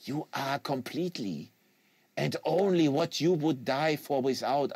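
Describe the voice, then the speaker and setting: Man putting on an earnest, high-pitched Quebecois zealot. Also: expressive, a little slow, darkish tone, slightly rough, no echo, full character voice. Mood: insistent, earnest. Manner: solemn, rhetorical, foreign phrasing. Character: earnest, lecturing French-Canadian male assassin in a wheelchair.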